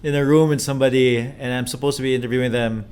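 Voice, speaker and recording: A man from the Philippines, a podcast guest chatting in a relaxed way with loose, trailing phrases. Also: mid-range voice, fast, clean tone. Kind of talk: casual chat.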